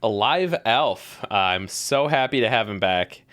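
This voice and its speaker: A woman's voice: rumbling low, animated, a little slow, fairly bright tone, no room sound. A woman hosting a casual live stream, bubbly and rambling, laughing often. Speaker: podcast host.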